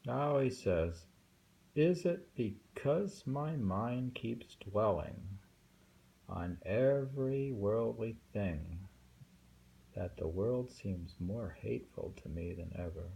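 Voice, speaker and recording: A man reading poems aloud and commenting casually in a slow, musing way, with thoughtful hums. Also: lowish voice, animated, vocal fry, warm tone, slightly rough, poor audio. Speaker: reader of translated poetry.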